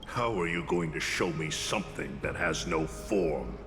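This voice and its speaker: An actor playing a proud, bitter old warrior mentor in a fantasy game, speaking formally and gravely. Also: male voice, a bass voice, unhurried. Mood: guarded. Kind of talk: dramatic fantasy game acting. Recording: long hall reverb, loud-ish music behind. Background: music.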